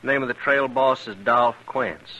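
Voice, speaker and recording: A man's voice: medium pitch, a little slow, pushed and bright, dull audio. A man acting as a surly, terse trail cowboy with dry humor in a 1950s radio western. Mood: blunt, guarded. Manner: terse, challenging. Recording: noisy old radio recording.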